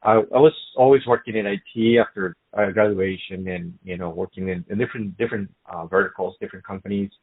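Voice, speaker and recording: A middle-aged man from Colombia, a business guest in a casual interview, speaking haltingly with many fillers and restarts. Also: fairly low pitch, steady tone, slightly rough. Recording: phone-like narrow sound.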